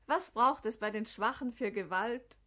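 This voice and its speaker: A woman's voice: on the high side, normal speed, sharp tone. An actress playing a shrewd, assured noblewoman in a classic radio play, eloquent and declamatory. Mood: scheming, assured. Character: shrewd, scheming, assured noble sister of a sultan.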